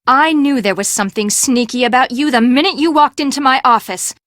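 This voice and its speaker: A haughty, hot-tempered eccentric for a video game. The voice is fairly high and melodramatic, with a theatrical edge, in a dry, close recording. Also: female voice, a little fast, fairly bright tone, dry recording. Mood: menacing.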